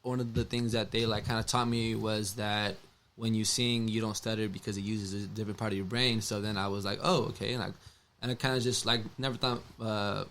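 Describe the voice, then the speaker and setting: A man's voice: fairly low pitch, little pitch movement, brisk, fairly bright tone, a little hiss or hum. A man in his twenties with a stutter, speaking hesitantly with many fillers and restarts. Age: 20s. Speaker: musician, interview guest.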